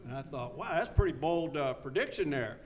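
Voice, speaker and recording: A man's voice: a little high, expressive, fairly quick. A man in his seventies telling his own story to a recovery meeting, folksy and earnest, repeating his key lines for emphasis. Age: 70s. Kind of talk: personal story told to a live meeting.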